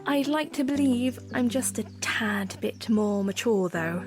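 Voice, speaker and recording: A woman playing a gentle, earnest young princess in a fantasy audio drama, with a hesitant, measured manner. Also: fairly high pitch, expressive. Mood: bashful, playful. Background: music.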